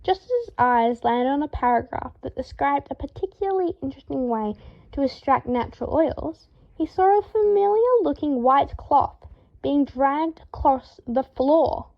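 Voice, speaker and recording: A woman with a fairly high voice narrates fiction expressively from a script. The recording is slightly dull, like a home-made audiobook. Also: animated, moderate pace, gravelly.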